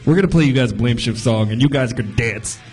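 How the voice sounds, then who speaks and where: A male band member with a mid-pitched voice, guesting on a podcast. He jokes eagerly, laughs easily and gets hyped about playing their music. The chat is loose and casual. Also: a little mellow. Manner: joking, eager, quick to laugh.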